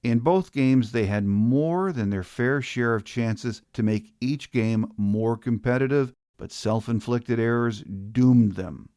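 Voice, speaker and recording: A man's voice: medium pitch, slow, hardly any pauses, dark tone, dry recording, clean studio sound. An American man hosting a college football podcast, reading scripted commentary. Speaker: podcast host.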